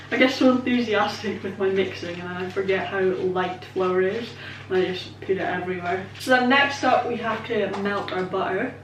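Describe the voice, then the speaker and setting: A woman's voice: medium pitch, normal speed, distant mic. Scottish woman, twenties, casual vlog chatter, filler-heavy, off-mic, roomy kitchen, faint room hum. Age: 20s. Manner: chatty, rambling, self-deprecating, many "um" and "like" fillers. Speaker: vlogger. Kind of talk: casual baking vlog chat. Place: home kitchen. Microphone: camera set up away from her.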